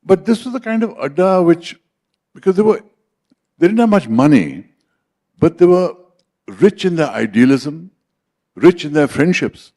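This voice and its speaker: A man's voice: a little high, sing-song, measured pace, long pauses, warm tone. An older man with an Indian English accent, telling stories about his life as a conference speaker. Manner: anecdotal, switches into Hindi for quoted dialogue. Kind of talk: personal storytelling.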